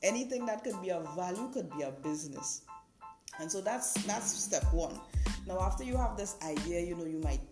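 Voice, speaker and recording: A woman's voice: lowish voice, animated, normal speed. A Trinidadian woman hosting a podcast, explaining things in an informal, conversational way with frequent checking tags like "right" and "you know". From Trinidad and Tobago. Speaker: podcast host. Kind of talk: informal instructional podcast monologue. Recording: roomy echo, music behind the voice.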